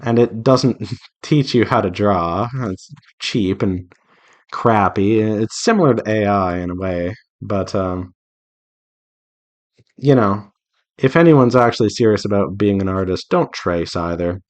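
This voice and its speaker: A man with a fairly low voice speaks very slowly and casually. He rambles in an earnest, conversational way, offering advice as he goes, like an artist hosting a relaxed drawing stream. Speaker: visual novel developer and artist hosting a drawing devlog.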